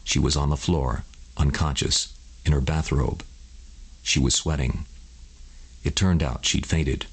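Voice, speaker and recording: A man with a husky voice narrating a scripted bedtime story for adults. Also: very deep voice, fairly even intonation, unhurried, pauses often.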